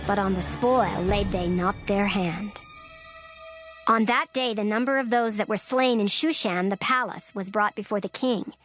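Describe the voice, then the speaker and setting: A woman's voice: medium pitch, moderate pace. Woman narrating scripture over music. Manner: steady, formal reading with careful pacing.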